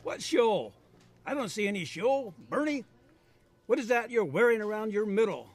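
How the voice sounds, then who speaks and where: A man playing a bossy, baffled store manager with a husky voice in an audio drama. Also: sits high, animated, normal speed. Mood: indignant, baffled.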